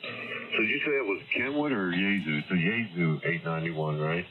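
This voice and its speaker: A man with a very low voice chats casually over amateur radio. The sound is squeezed into a narrow band, with clear static behind him. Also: animated, moderate pace. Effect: radio transmission.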